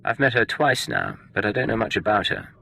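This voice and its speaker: A cool, assertive secret agent in a sci-fi game. The voice is deep and husky with a hard, sharp edge. He is terse and defiant, with lively inflection. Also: fairly quick, no room sound, full character voice. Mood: concerned. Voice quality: gravelly, husky.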